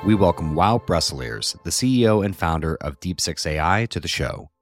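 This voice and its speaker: A man hosting a podcast, reading scripted intros and then interviewing a guest in an upbeat, measured way. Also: sits low, expressive, soft background music. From Colorado, US. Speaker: podcast host.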